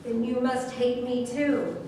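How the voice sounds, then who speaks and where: Woman acting troubled accuser, wary and earnest, slightly high voice, distant mic, roomy echo, play reading. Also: flat delivery, takes it slowly, warm tone. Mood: confused, wary. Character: distraught, confused, accusing woman confronting a killer. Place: large room at a staged reading. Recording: echoey room, far mic, faint noise.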